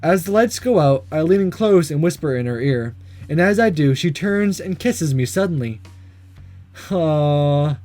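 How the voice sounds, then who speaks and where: A man's voice: fairly high pitch, expressive, a little slow, a little mellow, faint music behind. A man hosting a let's play, reading a visual novel aloud and breaking off with laughing, jokey asides. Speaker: gaming video host. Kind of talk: let's play narration and commentary.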